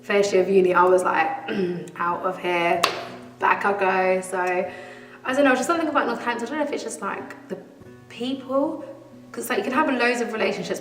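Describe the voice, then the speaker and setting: Twenty-something woman sharing her story, slight fry, echoey hall with soft music. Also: fairly low pitch, recorded from a distance. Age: 20s. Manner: candid, laughs easily. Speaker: church member giving testimony. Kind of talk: testimony interview.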